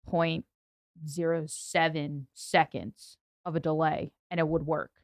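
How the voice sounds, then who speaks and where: A woman's voice: low voice, level tone, a little slow, dry recording, clean studio sound. A woman co-hosting a casual podcast, nasal, chatty and giggly as she explains audio gear. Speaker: co-host and audio engineer. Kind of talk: casual studio podcast chat.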